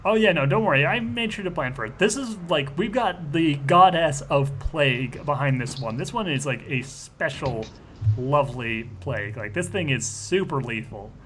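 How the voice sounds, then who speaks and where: A man's voice: fairly high pitch, lively intonation, moderate pace, fairly bright tone, a little hiss or hum. A man chatting casually as he improvises in a tabletop roleplaying game, in a rambling, colloquial way.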